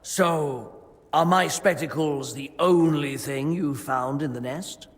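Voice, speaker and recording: A fussy monk from a video game, guarding the food stores. His male voice is gravelly and slightly high, with grudging, pious formality. It rings in long reverb with music behind it. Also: slow pace. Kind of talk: video game cutscene acting.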